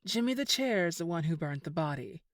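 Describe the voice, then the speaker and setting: A woman playing an unflappable reformed criminal ex-girlfriend in an audio drama, measured and dryly sardonic. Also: lowish voice, animated, no echo, studio quality, natural voice. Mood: persuasive.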